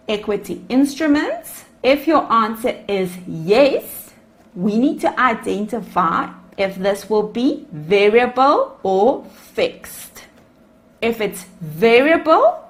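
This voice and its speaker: A woman lecturer with a South African accent, a native Afrikaans speaker, explaining accounting over slides and calling her students "guys". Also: medium pitch. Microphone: voice-over on screen recording.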